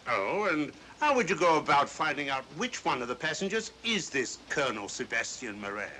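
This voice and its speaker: An actor playing a blunt, skeptical police inspector with a badgering manner, in a 1940s film style. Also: male voice, fairly high pitch, very animated, brisk, pushed and bright, rough recording. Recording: old film soundtrack, faint noise.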